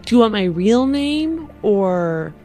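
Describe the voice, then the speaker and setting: A woman takes part in casual tabletop role-play at an unhurried, slow pace. She is hesitant and thinks aloud as she improvises, with music playing behind her. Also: medium pitch, big pitch swings, warm tone. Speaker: player in a tabletop game. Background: music bed.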